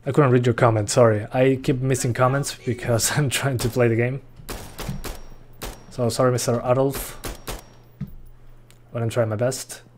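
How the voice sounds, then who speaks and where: A man's voice: mid-range voice, moderate pace, pauses often, a little hiss or hum. Man streaming a shooter. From Uruguay. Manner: relaxed, chatty, reads chat aloud. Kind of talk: live gameplay commentary. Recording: faint gunfire behind voice.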